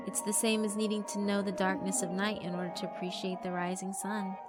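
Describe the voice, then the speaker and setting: A woman's voice: mid-range voice, level tone, normal speed, close to an everyday voice. A woman voicing a sweet, persuasive female figure who praises the bullies' cruelty. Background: background music.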